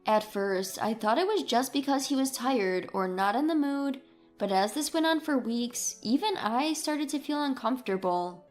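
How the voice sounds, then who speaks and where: A woman's voice: a little high, lively intonation, normal speed, faint music behind. A woman reading a scripted first-person story as its narrator.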